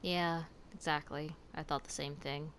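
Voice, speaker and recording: A woman's voice: sits low, steady tone, measured pace, fairly bright tone, a little hiss or hum. A woman streamer giving casual reaction commentary while playing a video game. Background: game music.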